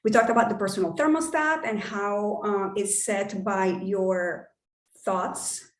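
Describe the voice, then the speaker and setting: A woman with a Spanish accent leads an online coaching workshop, talking eagerly and chattily with plenty of ums. Her voice sits at a middle pitch and comes through a video call with a noticeably roomy echo. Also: a little slow, slight background noise. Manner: enthusiastic, chatty, with many fillers.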